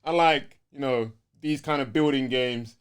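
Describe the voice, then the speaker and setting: A man's voice: mid-range voice, measured pace, clean tone. A man with a London accent co-hosting a gaming podcast, chatting casually in slang as he reasons things through. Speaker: podcast co-host.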